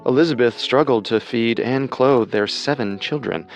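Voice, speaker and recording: A man narrating a scripted true-crime story in a measured, explanatory way. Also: medium pitch. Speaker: co-host narrator. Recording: music under the voice.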